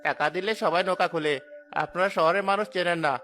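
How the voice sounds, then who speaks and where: Sceptical city traveller for cartoon, played by a man: insistent, forceful, high, dismissive. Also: a little fast, soft background music. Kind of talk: cartoon horror story acting.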